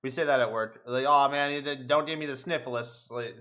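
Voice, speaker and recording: A man's voice: medium pitch, steady tone, brisk, fairly bright tone. A young man co-hosting a casual podcast chat, ranting in a rambling, profane way. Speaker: podcast co-host.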